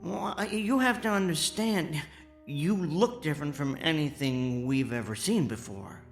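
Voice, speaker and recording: A man playing an excitable, kindly amateur inventor in a radio drama, stammering and folksy. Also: on the high side, unhurried, faint music behind. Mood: awkward. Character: excitable, kindly amateur inventor, male former teleportation engineer.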